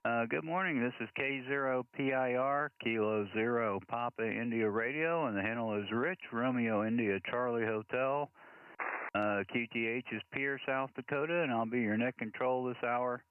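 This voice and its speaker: An American man working as net control operator on a ham radio, making deliberate, orderly announcements and spelling in phonetic letters. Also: mid-range voice, clean tone. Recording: narrow tinny radio-band sound, faint hiss.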